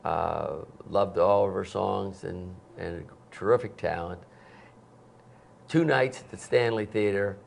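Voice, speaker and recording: A man's voice: sits low, slow, creaky. A man in his sixties, a concert promoter, telling stories in a TV studio interview and acting out the quotes. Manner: animated, acts out quotes with sudden loud bursts. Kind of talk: anecdotal storytelling. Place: TV studio set. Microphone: lapel mic.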